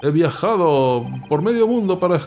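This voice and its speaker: A man's voice: high voice, expressive, moderate pace, warm tone, slight background noise. A man reading as an aggrieved young student. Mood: indignant. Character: earnest young male student abroad, aggrieved.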